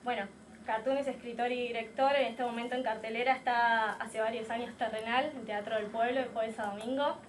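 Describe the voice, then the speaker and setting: A woman's voice: a little high, steady tone, fairly quick. A woman with an Argentine accent giving a semi-prepared introduction as interviewer at a public talk. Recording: slightly distant mic, background noise.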